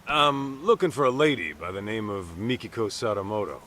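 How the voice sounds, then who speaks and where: An actor playing an easygoing, plain-spoken middle-aged private detective in an English video game dub. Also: male voice, lowish voice, expressive, brisk, bright, forceful tone, slight background noise. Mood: polite, casual.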